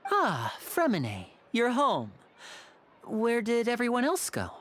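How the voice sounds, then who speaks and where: A woman's voice: on the high side, huge pitch swings, takes it slowly, full character voice. A woman playing a gracious, formal young woman, a caring older sister, in a video game. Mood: polite, welcoming. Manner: polite, formal. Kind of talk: scripted video game dialogue.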